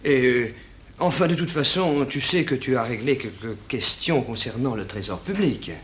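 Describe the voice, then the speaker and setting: A nervous palace steward, played by a man, hesitates and fawns at a middling pitch. He sounds deferential and flustered, in an old French radio drama with slight recording noise. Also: lively intonation, moderate pace, a bit bright, lightly acted. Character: timid, obsequious male Roman palace steward. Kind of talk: mid-century French radio theatre acting.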